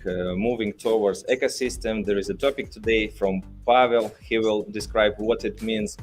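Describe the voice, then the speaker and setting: A man with a low voice and a Russian accent hosts a casual livestream as a company leader. His phrasing is halting and hesitant, and music plays faintly behind him. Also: darkish tone. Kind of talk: casual live podcast talk. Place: studio built in a hotel room.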